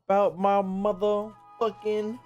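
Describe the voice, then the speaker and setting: A man's voice: sits high, flat delivery, slow, lo-fi sound, faint music behind. A man livestreaming a game, talking in casual slang with a cocky, joking manner. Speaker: streamer reacting to a game.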